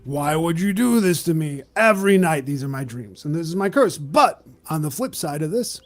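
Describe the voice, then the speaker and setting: Tabletop game registrar, played by a man: jaded, condescending drawl, higher-set male voice. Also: animated, normal speed. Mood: morbid, exasperated. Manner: world-weary, drawling, condescending. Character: jaded, sarcastic male registrar at a curse-declaration desk. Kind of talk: improvised tabletop roleplay.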